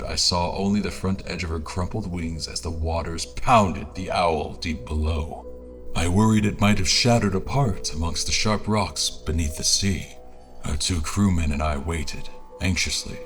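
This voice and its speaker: A man performs an adventurer with a low voice, recounting a perilous expedition as if from a journal. The delivery is formal, literary and dramatic, in the style of a scripted audio drama. Soft music sits beneath the narration. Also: close to an everyday voice. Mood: tense, vivid.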